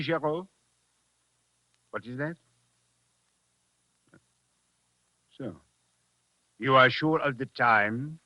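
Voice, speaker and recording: An actor playing a courteous, methodical French police inspector with a French accent in a 1940s radio drama, speaking formally and deliberately. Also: male voice, mid-range voice, slight background noise, natural voice. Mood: measured, puzzled. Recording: dull vintage radio recording.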